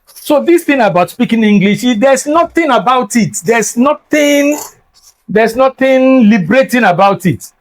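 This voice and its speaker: A man's voice: very high voice, animated, measured pace, poor audio. Emphatic older Nigerian man, lecturing. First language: Yoruba. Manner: emphatic and lecturing, repeats words for stress. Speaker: guest scholar. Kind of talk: reflective interview talk.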